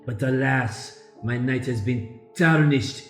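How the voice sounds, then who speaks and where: A man's voice: mid-range voice, takes it slowly, fairly bright tone. A man playing a mournful, florid, theatrical chef in an improvised comic radio play. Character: melodramatic aspiring French chef, mournful widowed man. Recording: roomy, faint music underneath.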